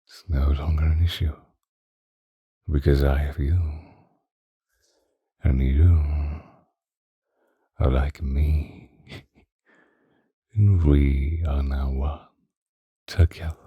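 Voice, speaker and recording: A man playing a possessive, doting ancient vampire lover in a breathy, intimate ASMR roleplay, close to the microphone. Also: fairly even intonation, no room sound, lo-fi sound, full character voice. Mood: wistful, adoring. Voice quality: very deep, dark, husky, breathy, often whispering. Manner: slow, intimate, old-fashioned romantic wording. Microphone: close microphone, dry.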